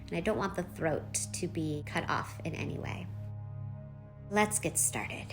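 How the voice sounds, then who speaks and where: A woman leading a guided meditation, giving soft instructions in short phrases with long pauses between them. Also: lowish voice. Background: background music.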